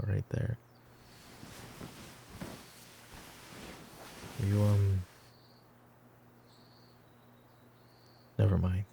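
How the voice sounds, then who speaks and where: A man playing a weary middle-aged mafia boss in a roleplay audio drama, stern but protective, speaking in a measured, commanding way. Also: noisy background. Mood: awkward, softer. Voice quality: low, gravelly.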